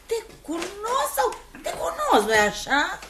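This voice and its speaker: An actress playing a sly, chummy old opium den keeper in a radio play, wheedling with folksy endearments and prodding questions. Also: female voice, very high voice, huge pitch swings, noisy background, full character voice. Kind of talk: radio theatre acting.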